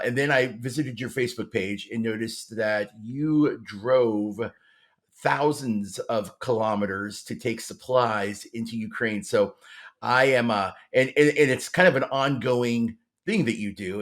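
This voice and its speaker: A man's voice: mid-range voice, a little slow. Male podcast host with mid-range voice. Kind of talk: conversational podcast interview.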